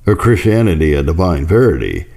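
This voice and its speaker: Deep-voiced man narrating history, lively intonation. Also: a little fast, vocal fry. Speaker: audiobook reader. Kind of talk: scripted narration of church history.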